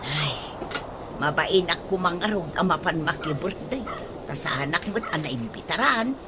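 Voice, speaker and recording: A woman's voice: sits low, lively intonation, moderate pace. A woman playing a nagging, exasperated mother in an Ilocano radio comedy drama, exclaiming and scolding with an Ilocano accent. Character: nagging, exasperated mother of a stingy grown son. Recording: noisy, birdsong behind.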